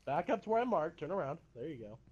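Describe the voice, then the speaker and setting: A young man directs his squad in an online shooter, swearing and bossing them around, his voice going hoarse. He sits fairly high and swings his pitch a lot, with faint noise in the chat audio. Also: fast talker, highs cut off. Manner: animated, profane, bossy callouts. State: losing his voice. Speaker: gamer recording his match. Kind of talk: casual gaming banter.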